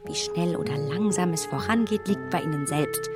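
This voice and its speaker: An actress playing a self-assured young matchmaking gentlewoman in a radio play, with whispered asides and polite, animated speech. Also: on the low side, normal speed, natural voice. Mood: advising. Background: music.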